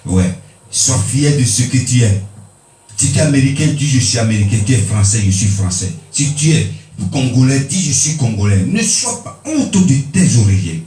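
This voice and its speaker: Emphatic preacher with swooping pitch. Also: male voice, sing-song, moderate pace, warm tone. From Benin. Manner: emphatic, swinging pitch for stress. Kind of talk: sermon. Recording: echoey room, mic at a distance.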